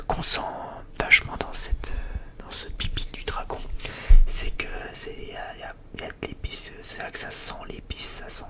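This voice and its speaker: An ASMR creator chatting casually in a whisper, rambling hesitantly and often repeating words.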